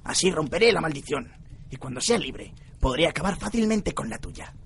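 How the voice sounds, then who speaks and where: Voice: medium pitch, animated, normal speed, creaky, a little hiss or hum. A performer voicing a wry, wheedling fire demon held prisoner, in a radio play. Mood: wheedling, plaintive. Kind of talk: amateur radio play acting.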